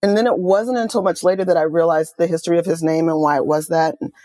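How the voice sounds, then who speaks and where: Lively storytelling woman, dry close sound. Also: fairly low pitch, quick pace, no echo. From Oakland, California. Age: middle-aged. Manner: enthusiastic, animated storytelling with quoted asides. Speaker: guest author and music journalist. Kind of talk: conversational interview.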